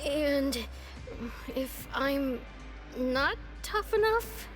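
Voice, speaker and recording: A woman's voice: high-pitched, expressive, very slow, faint music behind, full character voice. A woman voicing a sulky, restless young boy patient in a video game, whiny and complaining. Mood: nervous.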